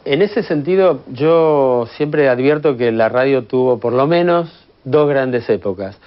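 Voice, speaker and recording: A man's voice: medium pitch, expressive, unhurried. Didactic Argentine man, dull sound. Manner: methodical, didactic. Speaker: radio history expert. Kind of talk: explanatory interview.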